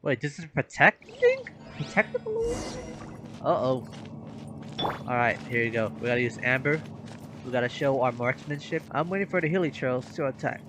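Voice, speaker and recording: A man's voice: mid-range voice, wildly swooping pitch, moderate pace, background noise. A man giving casual live gameplay commentary as a game streamer. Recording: game music clearly behind.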